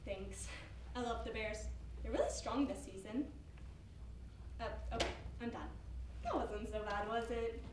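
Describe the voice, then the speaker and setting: A woman's voice: fairly high pitch, unhurried, pauses often, close to an everyday voice. A woman acting an eager, apologetic young date in an amateur stage comedy. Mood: apologetic, chatty. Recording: noisy, echoey stage recording from far off.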